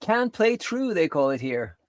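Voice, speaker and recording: A woman's voice: on the low side, big pitch swings, measured pace, darkish tone, dry recording, clean studio sound. A woman co-hosting a coding live stream with casual chat and short asides.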